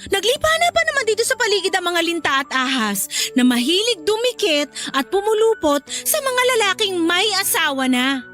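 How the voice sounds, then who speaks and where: An actress playing a dutiful but jealous young wife in a radio drama. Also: female voice, very high-pitched, sing-song, moderate pace, a bit bright, soft background music, heavily acted. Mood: resigned, jealous. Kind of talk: Filipino radio drama acting.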